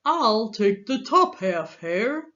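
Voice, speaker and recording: A woman's voice: a little high, sing-song, slow, a bit bright, heavily acted. Woman voicing a drowsy, lazy storybook bear, muffled sound. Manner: grunting, drowsy, yawning. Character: lazy, sleepy, slow-witted male storybook bear.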